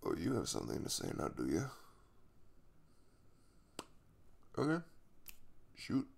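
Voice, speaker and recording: Young male crush played by a man for intimate audio roleplay: low, very creaky, easygoing, caring, teasing. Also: huge pitch swings, fairly quick, heavily acted. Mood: reassuring. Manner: rambling, casual, jokey and reassuring. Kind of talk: intimate ASMR boyfriend roleplay, conversational.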